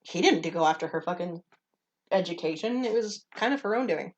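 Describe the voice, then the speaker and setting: A woman's voice: fairly low pitch, animated, a little fast, lo-fi sound. A young woman co-hosting a podcast in casual chat, blunt and swearing freely as she talks. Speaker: podcast co-host. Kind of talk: casual chat, reading stories aloud.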